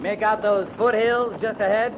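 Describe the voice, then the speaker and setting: A man's voice: sits high, fairly even intonation, moderate pace, narrow, tinny audio, natural voice. Man acting a stern cavalry captain on crackly old radio. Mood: stern, testing. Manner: clipped, commanding, terse. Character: stern, weary cavalry captain, gruff but caring. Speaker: radio drama actor. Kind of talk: 1950s radio western acting. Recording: noisy, thin 1950s radio recording.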